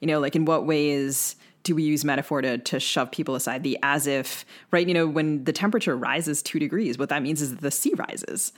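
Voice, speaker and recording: A woman's voice: low-pitched, a little fast, hardly any pauses. A woman speaks as co-host of a literary discussion podcast. She is analytical and questioning, thinking aloud in conversational sentences with frequent fillers.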